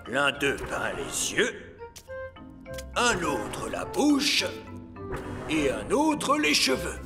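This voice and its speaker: An actor playing an officious, fussy elf craftsman in a French cartoon, explaining things pompously and excitably. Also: fairly high pitch, extremely slow, big-room sound, over music, exaggerated voice. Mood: proud, explanatory. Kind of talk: French cartoon dub acting.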